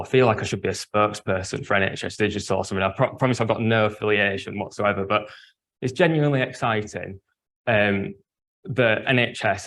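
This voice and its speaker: A man from Leeds with a Yorkshire accent, giving a conference talk in an earnest, explanatory way with frequent ums and restarts. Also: fairly low pitch. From Leeds, England. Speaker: speaker at an industry event.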